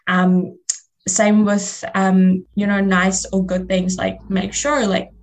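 A woman hosts a casual, reflective radio talk. Her first language is Fiji Hindi and her voice sits at a middle pitch. She explains herself in loose steps, often checking in with "you know". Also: steady tone, slow pace, a little hiss or hum, rough recording. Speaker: radio show host.

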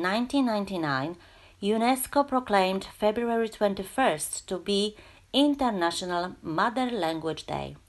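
A woman hosting a community radio show, reading facts and then asking guests about learning a language. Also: medium pitch. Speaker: radio host. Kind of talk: scripted radio talk.

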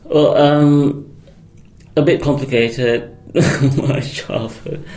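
A man's voice: medium pitch, fairly even intonation, extremely slow, a little mellow. A man, a guest answering interview questions with many ums, restarts and frequent laughs. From Singapore. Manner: hesitant, many fillers and restarts; laughs often. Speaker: guest, electronics engineer. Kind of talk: conversational radio interview.

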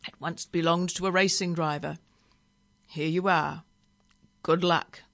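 A woman's voice: on the low side, steady tone, a little slow, creaky, fairly bright tone. A woman voicing a helpful, persuasive male pet shop owner in a storybook.

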